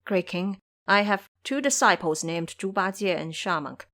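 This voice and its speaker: A woman's voice: medium pitch, lively intonation, moderate pace, a bit bright. Woman playing a humble, pleading monk. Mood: meek, pleading. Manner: deferential, formal, pleading. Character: humble, courteous male Buddhist monk, meek captive.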